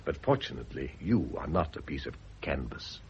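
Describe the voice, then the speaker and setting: An actor playing a suave, evasive middle-aged British gentleman and teasing seducer in an urbane, sardonic radio drama style. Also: rumbling low, sing-song, fairly quick, vocal fry, full character voice. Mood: wry, teasing. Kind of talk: 1970s radio drama acting. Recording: dull vintage radio recording with faint hiss.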